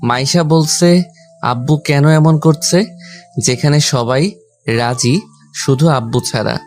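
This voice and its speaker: A man's voice: a little high, slow, a little mellow. A man reading a scripted first-person story as its narrator and lead character. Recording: background music under the voice. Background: music.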